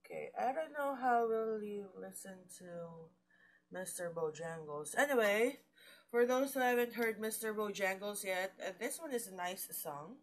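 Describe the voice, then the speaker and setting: A young woman vlogging casually at home, hoarse and low-voiced from coughing, rambling slowly with fillers and pauses. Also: lively intonation. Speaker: vlogger and mandolin player. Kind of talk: casual chat to camera. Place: small room indoors. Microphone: webcam or laptop at desk.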